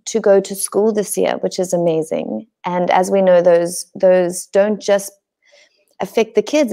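Zimbabwean woman hosting with a gravelly, mellow voice. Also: medium pitch. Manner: enthusiastic, hesitant with fillers. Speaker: lodge host. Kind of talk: hosting a video-call webinar. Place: home room indoors. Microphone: video call.

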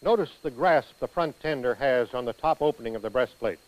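An American man reading scripted instructional narration for a training film in a precise, measured manner. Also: medium pitch, expressive, darkish tone, poor audio.

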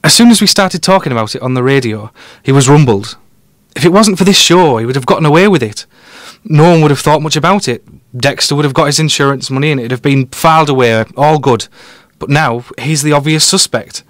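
A man's voice: a little high, fairly quick, dry recording, natural voice. Man playing a confident male detective, dry close sound. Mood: assured, explaining. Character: confident, quick-witted male amateur detective explaining his deductions. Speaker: podcast guest detective. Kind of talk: conversational audio drama dialogue.

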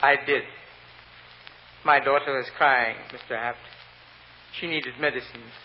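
Muffled vintage radio drama, long reverb, man acting humble immigrant clerk on the witness stand, Spanish accent, halting, deferential, anxious father. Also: medium pitch, fairly even intonation, slight background noise, natural voice. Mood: anxious, sorrowful. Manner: halting, deferential, formal broken English.

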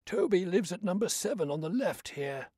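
A man voicing a lanky, cranky old male animal keeper with shrill, querulous, working-class speech. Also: animated, moderate pace, darkish tone, breathy edge, dry recording, studio quality. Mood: chatty, fussing.

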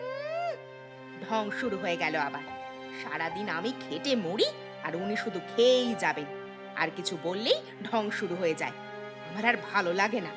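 A woman voicing a sharp-tongued, exasperated daughter-in-law in a cartoon story, scolding and indignant. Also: medium pitch, huge pitch swings, normal speed, long pauses, music in the background.

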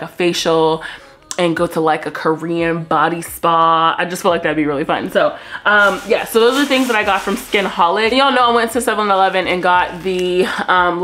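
A woman's voice: fairly low pitch, fairly bright tone, a little hiss or hum. Chatty young woman in a hotel room. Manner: chatty, filler-heavy, addresses viewers as y'all. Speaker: vlogger. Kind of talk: casual haul chat to camera.

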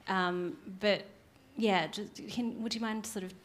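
A woman in her thirties, a journalist moderating a stage panel, quick and warm, laughing along with the guests. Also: medium pitch, steady tone. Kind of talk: hosting a panel conversation. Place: studio stage with a panel seated in chairs. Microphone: handheld microphone.

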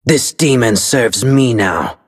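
Gritty, cocky gunslinger demon hunter. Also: male voice, mid-range voice, animated, slow, breathy edge. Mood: confident. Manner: dry, swaggering, menacing. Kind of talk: video game character voice lines.

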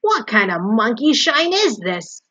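Woman as a grumbling, sarcastic old rat, loud. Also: mid-range voice, little pitch movement, normal speed, no echo, lightly acted. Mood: disgusted. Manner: growling, mocking, grumbling. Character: grumpy, sarcastic old male rat, self-pitying.

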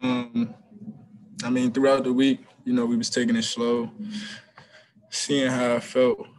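A young man, a college basketball player, answering press questions after a game over a video call, relaxed and hesitant with many ums and restarts. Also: medium pitch, a little slow, vocal fry, rough recording. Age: young adult. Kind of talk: postgame press conference answers. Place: small room before a team backdrop. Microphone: video call webcam.

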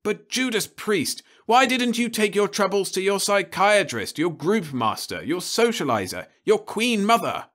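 A man's voice: on the high side, lively intonation, unhurried, fairly bright tone, voice pushed up. A man voicing a blustering older male official who thunders indignant questions.

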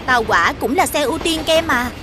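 A woman playing a gentle, patient schoolteacher who explains things in a children's cartoon, with a Southern Vietnamese accent. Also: sits high, lively intonation, fast talker. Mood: patient explaining. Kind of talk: animated children's cartoon dubbing. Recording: music behind the voice.

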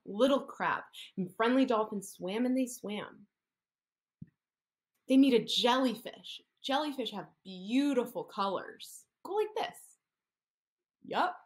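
30s woman therapist doing a kids story read-aloud, high voice that bounces all over, sing-songy and coaxing, webcam in a room. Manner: animated, sing-song, coaxing children to copy hand gestures. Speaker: occupational therapist. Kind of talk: reading a picture story aloud to young children. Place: indoor room.

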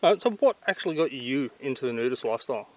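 Matey Australian man, mid-pitched. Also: animated, quick pace, no room sound, poor audio. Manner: informal, matey. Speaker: channel host interviewing. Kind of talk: casual vlog interview. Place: outdoors at a garden table in bushland.

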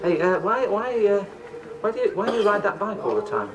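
A flustered young British man who stammers and keeps his voice low as he bluffs his way along. His pitch jumps around anxiously. The sound is distant and buried in heavy hiss, like an old 1970s TV soundtrack. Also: big pitch swings, a little fast. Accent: British English. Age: young adult. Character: nervous young man posing as a doctor. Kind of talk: 1970s sitcom acting.

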